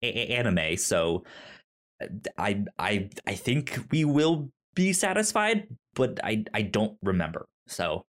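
Halting man in casual podcast discussion. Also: lowish voice, very animated, a bit bright. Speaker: podcast co-host.

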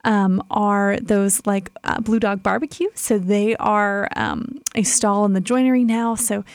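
A woman's voice: medium pitch, fairly even intonation, a little slow, no echo. A woman, a kitchen director, giving upbeat promotional interview answers with frequent "um"s.

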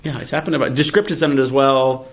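A man's voice: medium pitch, steady tone, fast. Man explaining ideas in casual podcast talk. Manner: conversational and analytical, thinking aloud with examples. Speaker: podcast co-host, venture capitalist. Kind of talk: casual, explanatory tech discussion.

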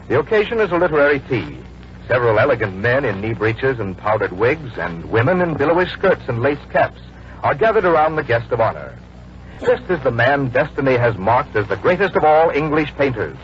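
A man's voice: mid-range voice, big pitch swings, a little fast. A man announcing in a polished, scripted 1940s radio style, telling stories as sales copy. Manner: polished, storytelling sales delivery. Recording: muffled, noisy old radio broadcast.

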